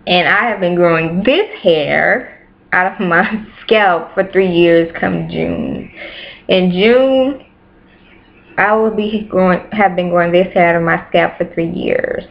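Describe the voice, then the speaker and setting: A woman's voice: lowish voice, takes it slowly, creaky. A woman in her late twenties chatting casually to camera in a small bedroom, repeating herself for emphasis. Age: 20s. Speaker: YouTuber. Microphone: webcam at close range. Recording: dull lo-fi webcam audio.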